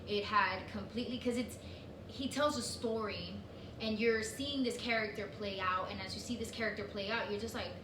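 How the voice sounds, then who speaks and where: A woman's voice: mid-range voice, normal speed. A woman whose first language is Spanish, co-hosting a casual chat and talking with lots of fillers and restarts. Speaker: co-host. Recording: echoey room, distant mic, noisy.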